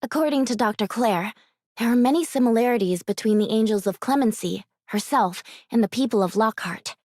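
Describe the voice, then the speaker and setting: A woman's voice: a little high, a little fast, darkish tone, no echo, lightly acted. Thoughtful young woman, dry close sound, acted. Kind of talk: anime game voice acting.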